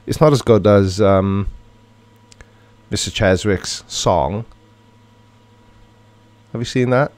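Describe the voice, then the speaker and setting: A man's voice: fairly low pitch, takes it slowly, long pauses, darkish tone. A middle-aged man from Wales with a Welsh accent, casually chatting and reading viewer comments aloud on a livestream. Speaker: livestream host.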